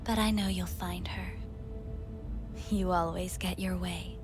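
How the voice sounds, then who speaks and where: An actress playing a calm, probing female android companion in a game cutscene, speaking in a soft, breathy half-whisper. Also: fairly low pitch, takes it slowly, over music. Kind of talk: video game cutscene acting.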